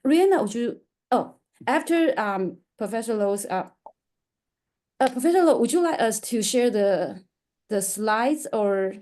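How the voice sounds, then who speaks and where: Female event presenter, soft-edged mellow tone, informal and halting in delivery. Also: on the high side, lively intonation. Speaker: event presenter introducing poets. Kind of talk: informal spoken introduction.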